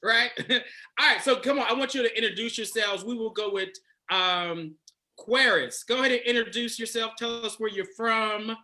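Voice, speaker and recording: A man's voice: high voice, normal speed, sharp tone. A man hosting a casual dating chat on a video call, playful and laughing often. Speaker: dating coach host.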